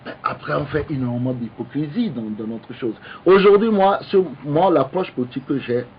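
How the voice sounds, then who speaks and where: A man, an opposition politician debating on the radio, halting with many restarts but insistent. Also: on the high side, dull audio, slight background noise. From Togo.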